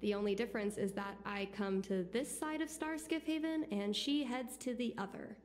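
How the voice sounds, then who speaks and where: A woman's voice: fairly high pitch, moderate pace, a little mellow, faint music behind, natural voice. A woman voicing a breezy, candid and slightly stubborn young daughter in a video game, with casual, frank delivery.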